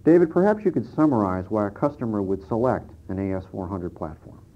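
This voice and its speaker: A man's voice: lowish voice, animated, fairly quick, mellow. A man presenting scripted instructional material on an old cassette tape. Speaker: presenter and interviewer. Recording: dull early-1990s cassette tape transfer.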